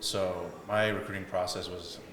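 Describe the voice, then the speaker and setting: A man's voice: sits low, flat delivery, moderate pace, a crowd in the background. A young man from South Africa, a football player, telling his story as an interview guest in an easygoing way, with lots of "like" and "you know". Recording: echoey room with mic at a distance and noise.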